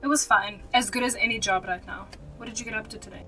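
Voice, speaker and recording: A woman's voice: fairly high pitch, steady tone, fast, close to an everyday voice. Distant-miked, hesitant young cleaner played by a woman. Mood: casual. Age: teenager. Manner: polite, hesitant. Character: polite, hesitant, hard-up parent working as cleaner. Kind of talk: amateur radio drama acting.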